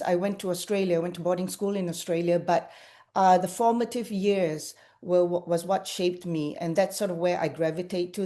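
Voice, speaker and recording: A woman's voice: lowish voice, mellow. A woman from Malaysia speaking on a webinar panel over a video call, hesitant, with many fillers and restarts. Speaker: panelist, cultural intelligence practitioner.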